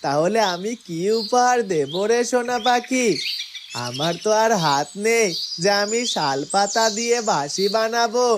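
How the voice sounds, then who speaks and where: A woman voicing a gentle, devoted talking cow with an earnest, simple-hearted manner in a fairytale cartoon. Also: medium pitch, animated, unhurried, background noise, natural voice. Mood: fond, earnest.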